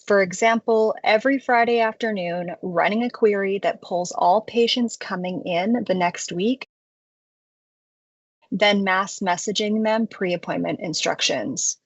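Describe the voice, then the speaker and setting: A woman presents a software walkthrough in a mid-pitched voice. Her delivery is explanatory and conversational, with clearly laid-out points. The recording is very dry and close, with hardly any room sound. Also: measured pace, no echo, muffled recording. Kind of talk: scripted product tutorial narration.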